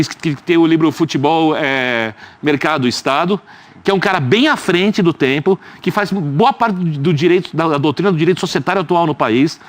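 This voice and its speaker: A man, arguing his view as a guest in a studio football debate, emphatic and explanatory. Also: fairly high pitch. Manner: emphatic and explanatory, with rhetorical asides.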